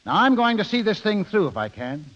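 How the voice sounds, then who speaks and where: A wronged, plain-spoken middle-aged husband in a 1930s radio drama. His voice sits a little high for a man and moves expressively, with terse, determined delivery. The old broadcast sound is dull and lo-fi. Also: big pitch swings, brisk, fairly bright tone. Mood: firm, confronting.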